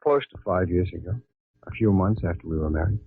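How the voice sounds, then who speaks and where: An actor playing a grave, troubled husband in an old radio drama, subdued and deliberate. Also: male voice, low-pitched, slight background noise. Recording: dull muffled vintage radio recording.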